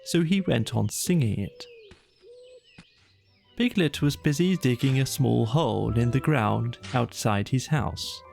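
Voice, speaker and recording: A man narrating a children's bedtime story in a slow, soothing way. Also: mid-range voice, animated, vocal fry. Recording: soft music behind.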